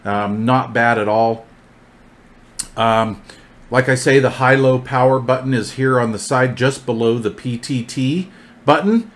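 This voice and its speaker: A man's voice: mid-range voice, measured pace. A man reviewing gear hands-on in a casual, informal, folksy way, often repeating his phrases.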